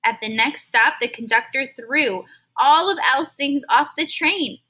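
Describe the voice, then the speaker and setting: A woman reading a children's story aloud like a teacher, expressive and stopping now and then to explain words in simple terms. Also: high voice, measured pace, breathy edge.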